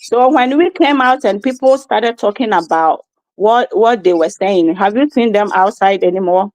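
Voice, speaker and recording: A woman's voice: mid-range voice, moderate pace, a little mellow, no echo, poor audio. A woman from Ghana with a Ghanaian accent, giving insistent opinions as a guest in an interview and often checking that she is understood. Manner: insistent, keeps repeating "you see what I mean". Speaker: guest commentator.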